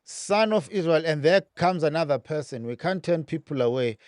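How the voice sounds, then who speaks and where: A man hosts a live online call-in, keeping things moving and calling on each speaker in turn. His voice sits a little high and sounds dry. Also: animated, a little mellow, dry recording. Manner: brisk, directing callers. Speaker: host. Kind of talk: moderating a live call-in stream.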